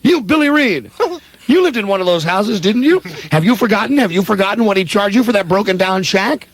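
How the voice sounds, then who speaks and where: Guy acting earnest flustered nice small-town banker, radio spoof, husky, highish, pitch all over the place. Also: lively intonation, brisk, dry recording. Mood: pleading, urgent. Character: earnest, flustered, kindly small-town savings-and-loan man. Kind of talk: comedic radio play acting.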